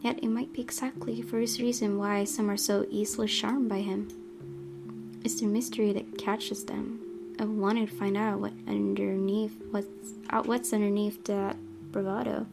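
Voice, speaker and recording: A woman's voice: medium pitch, flat delivery, normal speed, vocal fry. A woman hosting a let's-play, reading a visual novel's narration aloud and commenting on it. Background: guitar music.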